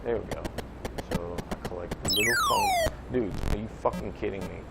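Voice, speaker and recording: A man's voice: fairly low pitch, wildly swooping pitch, brisk, gravelly, heavy background noise. A man giving casual, rambling gameplay commentary as a channel host, grumbling and swearing in an offhand way, with game audio behind him. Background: loud retro video game music and sounds.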